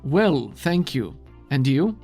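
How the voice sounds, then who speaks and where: A man voicing a curt, formal, unimpressed woman with a slow, measured, stiff delivery in a tabletop game. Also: mid-range voice, sing-song, vocal fry, darkish tone, soft background music, full character voice. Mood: cool, curt.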